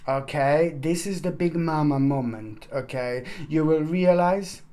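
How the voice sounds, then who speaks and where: A woman's voice: low voice, unhurried, mellow. A woman reading a weekly tarot forecast, talking in a gushing, effusive way with many fillers and restarts, and calling her listeners by pet names. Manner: addresses listeners as "my gorgeous" sign. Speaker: tarot reader.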